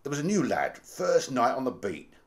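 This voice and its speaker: A man's voice: medium pitch, wildly swooping pitch, moderate pace. A man playing a plain-spoken, deferential Victorian manservant telling things colloquially in a radio drama. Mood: animated recounting. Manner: colloquial storytelling.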